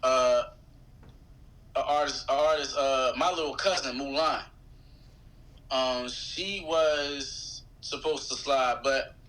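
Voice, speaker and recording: A man's voice: medium pitch, fairly even intonation, slow, pauses often, a bit bright, poor audio. A man from Philadelphia chatting casually and slangily as a podcast guest. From Philadelphia, United States. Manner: slangy, informal. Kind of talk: casual chat.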